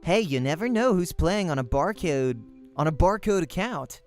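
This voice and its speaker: A man's voice: a little high, animated, normal speed, lightly acted. Casting: friendly male esports player, acted by a man reader, casual and teasing, visual novel game, faint music behind. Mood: breezy, teasing. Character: friendly, easygoing male pro gamer, encouraging rival.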